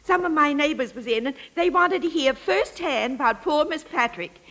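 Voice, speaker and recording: High-voiced, sly, chatty old lady. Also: lively intonation, normal speed, a bit bright. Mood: chatty, hospitable. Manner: chatty, folksy, coyly evasive. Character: lonely, attention-hungry old former actress, sly murder suspect. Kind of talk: old-time radio drama acting.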